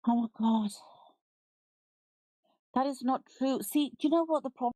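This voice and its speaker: A woman's voice: high voice, normal speed, no echo, low-quality recording. A middle-aged British woman hosting a live audio space, lecturing and scolding a speaker in a heated debate. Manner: forceful, lecturing, interrupts and scolds.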